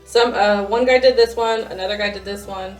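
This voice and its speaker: A woman's voice: medium pitch, fairly even intonation, fairly quick, soft background music, rough recording. A woman co-hosting a podcast, chatting casually in short reactions and teasing, giggly banter. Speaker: podcast co-host. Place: home garage studio.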